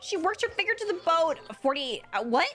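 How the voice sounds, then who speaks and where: A woman game streamer doing live reaction commentary, excitable and given to dramatic exclamations. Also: very high-pitched, fairly quick, soft background music.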